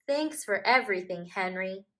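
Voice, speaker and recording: A woman voicing a polite, shy but excitable young male polar bear cub in a children's picture book, eager and childlike. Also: mid-range voice, normal speed. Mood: grateful.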